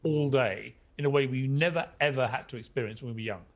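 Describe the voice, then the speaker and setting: A man's voice: mid-range voice, fast. A man hosting a TV debate show who speaks emphatically and cuts in with pointed questions. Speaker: host.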